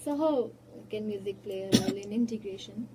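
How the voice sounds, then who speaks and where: A woman's voice: medium pitch, a little fast, a loud crowd. A woman co-hosting a radio interview who asks curious, careful questions. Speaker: radio co-host. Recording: distant from the mic with light hiss.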